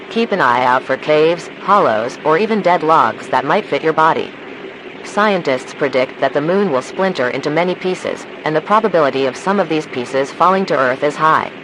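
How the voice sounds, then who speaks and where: Man as formal high-voiced emergency announcer, noisy backdrop. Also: expressive. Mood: grave, official. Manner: measured, formal, instructional. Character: calm, official emergency broadcast announcer. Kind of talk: scripted emergency alert narration.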